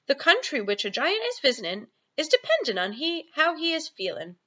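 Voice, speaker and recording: A woman's voice: sits high, wildly swooping pitch, moderate pace, bright, forceful tone, highs cut off, voice pushed up, heavily acted. A woman voicing a friendly, boastful storybook giant who talks in mangled grammar and invented comic words. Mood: knowing, gleeful.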